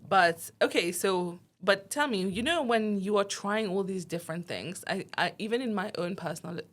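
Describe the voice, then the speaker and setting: A woman hosting a podcast interview, asking questions conversationally and encouraging her guest with quick affirmations. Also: mid-range voice. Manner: encouraging, with frequent short affirmations. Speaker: podcast host and university teacher.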